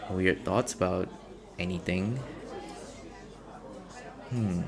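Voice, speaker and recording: A man playing a shy, socially anxious, gentle young stranger in an ASMR roleplay, speaking hesitantly and rambling in an earnest, self-conscious way. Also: takes it slowly, a crowd in the background, full character voice. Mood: self-conscious, apologetic. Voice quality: low, gravelly with vocal fry. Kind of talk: ASMR boyfriend audio roleplay, intimate naturalistic acting.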